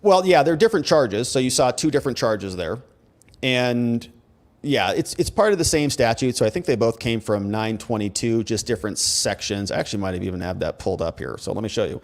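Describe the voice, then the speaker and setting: A man's voice: mid-range voice, lively intonation, brisk. A man with an American accent hosting a casual live commentary stream, talking conversationally and informally as he reads and answers messages. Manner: informal, conversational, rhetorical questions. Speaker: host, legal commentator. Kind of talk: casual livestream commentary, reading viewer messages.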